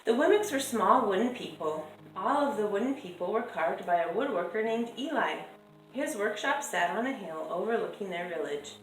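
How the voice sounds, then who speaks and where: A woman reading a children's picture book aloud in a measured, lilting storytelling way, drawing out stressed words. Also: mid-range voice, expressive. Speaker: storybook reader. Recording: echoey room with music behind. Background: music.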